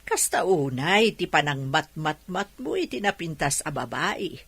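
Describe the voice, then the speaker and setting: A Filipino woman acting as a teasing, nosy older mother in a radio drama, with a husky voice. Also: deep voice, very animated, measured pace, fairly bright tone, no room sound, full character voice.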